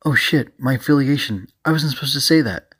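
Man putting on a loud, brash policewoman, pitched up. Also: moderate pace, lightly acted. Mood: indignant. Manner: loud, indignant, bossy. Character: brash, hot-tempered female police deputy squad leader.

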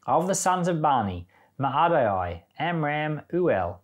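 A man with a fairly high voice reads scripture aloud for a podcast. His delivery is measured and deliberate, yet very animated in pitch, with phrases often falling away at the end. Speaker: pastor reading scripture aloud on a Bible podcast. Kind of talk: scripture reading.

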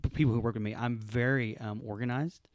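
A man of about fifty talks casually and jokes as a podcast guest. His voice sits at a middle pitch. The room is dry with no echo. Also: brisk. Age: 50s. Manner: jokey, animated storyteller. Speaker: youth pastor and comedian guest.

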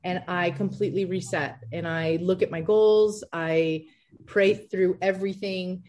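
Chatty thirties businesswoman, a bit low. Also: unhurried, slight background noise. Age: 30s. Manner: chatty, lively, laughs easily, many fillers. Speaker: entrepreneur guest. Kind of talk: casual interview answers.

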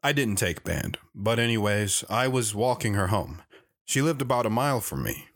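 A man's voice: on the low side, animated, moderate pace. A man playing an awkward, lovestruck wanderer who earnestly tells his life story in an audio drama. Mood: matter-of-fact. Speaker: storyteller guest on a radio talk show. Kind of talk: dramatic first-person audio drama narration.

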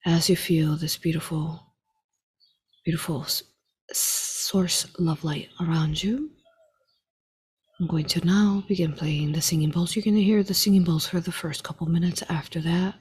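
Woman doing guided meditation, super breathy voice, hushed and slow, takes her time. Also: on the low side, steady tone.

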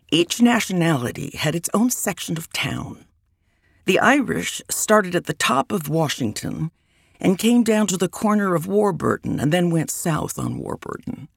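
Woman narrator for memoir reading, gravelly and animated in pitch, close dry mic. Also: no room sound. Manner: unhurried reminiscing narration.

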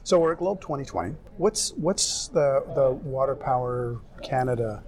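A man hosting a podcast interview at a conference, asking questions in a halting way full of restarts and fillers. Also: mid-range voice, expressive, darkish tone. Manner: hesitant, many restarts and fillers. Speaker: podcast host. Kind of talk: conversational interview. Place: conference venue. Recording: busy crowd chatter behind.

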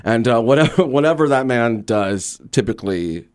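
A man's voice: medium pitch, expressive, normal speed, darkish tone, no echo, studio quality. A middle-aged man speaking effusively as an interview guest, often repeating words for emphasis. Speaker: guest, actor and theater teacher. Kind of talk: interview conversation.

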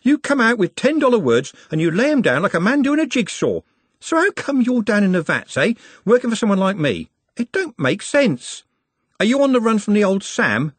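A man's voice: high voice, sing-song, moderate pace, a bit bright, dry recording, pitched above the performer's own voice, full character voice. A man voicing a brash, cocky young working-class lad in an audiobook, slangy, blunt and mocking. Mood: exasperated. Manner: slangy, blunt, matey banter.

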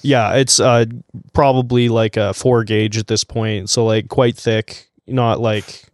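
A man playing a tabletop game, giving a deadpan first-person introduction of his character. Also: mid-range voice, unhurried, no room sound, studio quality. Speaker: player.

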